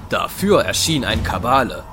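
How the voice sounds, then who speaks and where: Casting: male narrator, lower-pitched, scripted dramatic storytelling for sci-fi action audio drama, prominent music bed. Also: big pitch swings, normal speed.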